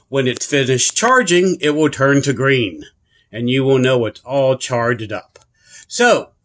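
A plain-spoken man talks through a casual hands-on review. His voice sits at a middle pitch, and he sounds earnest, repeating points for emphasis. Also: a bit bright. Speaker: product reviewer and channel host. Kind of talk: casual product review and demonstration. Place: carpeted room indoors.

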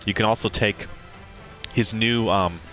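Deep-voiced man giving a game walkthrough. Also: unhurried. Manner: relaxed, rambling, many ums and restarts. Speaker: gameplay commentator. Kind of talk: casual game walkthrough commentary. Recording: game music playing under the voice. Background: game music.

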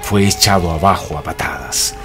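A man's voice: low-pitched, normal speed, over music, close to an everyday voice. A Chilean man narrating a wry radio play in a dramatic storytelling style.

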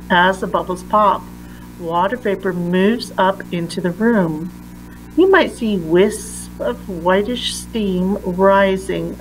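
A woman reading a children's picture book aloud in a deliberate manner, pausing between phrases. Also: medium pitch, a little hiss or hum, low-quality recording. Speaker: read-aloud reader.

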